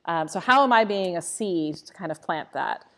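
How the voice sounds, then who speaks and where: Reflective woman from Costa Rica, slightly low voice, earnest answers in a live church Q&A. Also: animated, normal speed, a bit bright. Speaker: church staff member. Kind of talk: live unscripted Q&A conversation.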